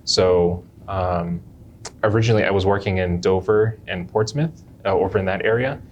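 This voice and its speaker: A young man from Jamaica who works as a chiropractor, answering interview questions in an unhurried way and often restarting his phrases. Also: low-pitched, darkish tone, lo-fi sound, a little hiss or hum.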